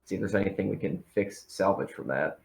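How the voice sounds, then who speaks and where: A man playing a practical, steady spaceship crew member with casual, matter-of-fact phrasing in a tabletop game. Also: sits low, a little fast, gravelly. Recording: echoey room.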